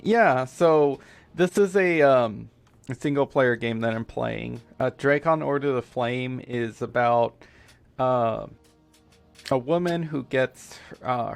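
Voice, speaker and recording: A man from the United States whose first language is Spanish, giving halting, filler-heavy casual commentary while streaming a game playthrough. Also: medium pitch, gravelly. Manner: halting, full of fillers and restarts. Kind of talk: casual let's-play commentary.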